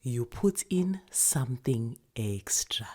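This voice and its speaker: A woman's voice: rumbling low, lively intonation, takes it slowly, mellow, heavily acted. A woman voicing a weary, loving old village grandmother, coaxing and teasing as she savours her words. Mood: secretive. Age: older. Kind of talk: storybook character voice.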